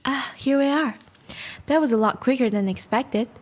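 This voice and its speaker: A woman playing a charming, once-noble vampire in a romantic audio roleplay, speaking formally and intimately to the listener, with a soft, hesitant manner. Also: high-pitched, normal speed. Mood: gracious, flirtatious. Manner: formal, courteous, hesitant, soft-spoken confessions.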